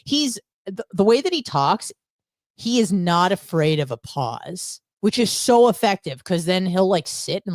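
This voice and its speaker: Lowish-voiced American woman, chatty and conversational. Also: no echo, studio quality. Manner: conversational, peppered with "like" and acted-out quotes. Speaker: podcast co-host. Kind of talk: casual chat.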